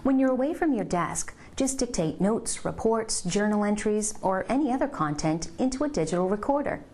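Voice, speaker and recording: A woman reading scripted instructional narration in a measured, step-by-step way, as a presenter of a product tutorial. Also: mid-range voice, animated, darkish tone, a little hiss or hum.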